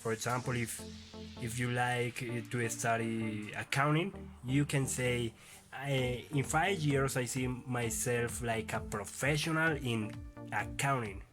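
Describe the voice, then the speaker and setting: A young man presenting interview advice in halting English, with frequent restarts. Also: mid-range voice, steady tone, over music. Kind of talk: casual advice video.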